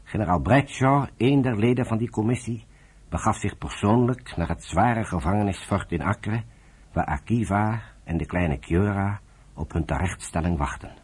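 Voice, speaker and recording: A man with a rough, husky voice narrating a radio drama from a script. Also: fairly low pitch, normal speed, darkish tone.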